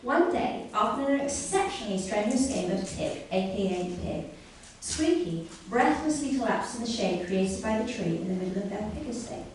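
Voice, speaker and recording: A woman performing a scripted comic story live on stage, with a deliberate mock bedtime-story delivery. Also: mid-range voice, lively intonation, darkish tone. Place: live comedy venue, indoors. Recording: very echoey room, distant mic, faint noise.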